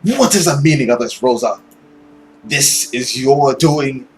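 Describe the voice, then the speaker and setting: A cruel, haughty villain creature from a visual novel, put on by a reader. The words are stretched out slowly and theatrically, leaping from low murmurs to high cries and whispers. Faint music plays behind. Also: expressive, natural voice. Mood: stern, irritated. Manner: melodramatic, drawn-out delivery with swoops and whispers. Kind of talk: dramatic visual novel character reading.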